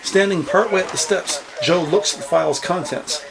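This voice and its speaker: A man's voice: high voice, moderate pace, vocal fry, a crowd in the background. A man narrating a scripted crime audio drama in the present tense.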